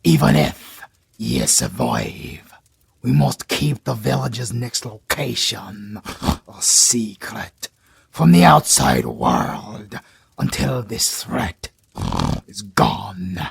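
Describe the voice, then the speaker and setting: A British man playing a solemn, kindly old village elder, speaking in a hushed, raspy, old-fashioned way. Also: on the low side, huge pitch swings, drawn-out words, full character voice. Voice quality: hushed, raspy, archaic speech. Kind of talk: audio roleplay acting.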